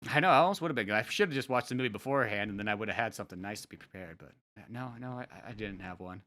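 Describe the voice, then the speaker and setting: Young adult male co-host, forceful bright tone, slangy casual read-along banter, quick to laugh and mimic. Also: on the low side. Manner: laughs often, acts out lines and sound effects; slangy and profane. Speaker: podcast co-host and comic creator. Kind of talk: casual chat, animated comic read-along commentary.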